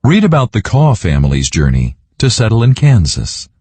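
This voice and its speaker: Man, deep mellow voice, very expressive pitch, children's storybook narrator, animated read-aloud delivery. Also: normal speed, breathy edge, no echo.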